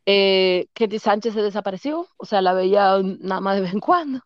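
Dominican lady chatting about old times, smooth voice, dry and a bit dull recording. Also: medium pitch, normal speed, no echo. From Dominican Republic. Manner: rambling, repeats names, laughs often. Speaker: guest. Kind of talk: casual interview, reminiscing.

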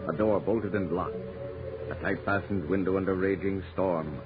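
A man's voice: low voice, fairly even intonation, normal speed. A man narrating a mystery in the dramatic scripted style of 1930s radio. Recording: muffled 1930s radio broadcast with music. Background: music.

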